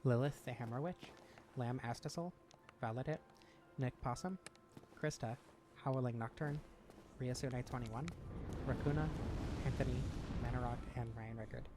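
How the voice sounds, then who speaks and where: A man's voice: mid-range voice, moderate pace, pauses often. A man giving casual gameplay commentary, his voice a little scratchy from weeks of not talking. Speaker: gaming channel host. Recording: home room with fan noise behind. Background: fan noise.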